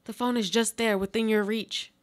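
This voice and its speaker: A woman's voice: fairly high pitch, fairly even intonation, moderate pace, natural voice. A woman playing a desperate trapped woman, pleading and reproachful in a dramatic radio play monologue. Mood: hopeful, pleading.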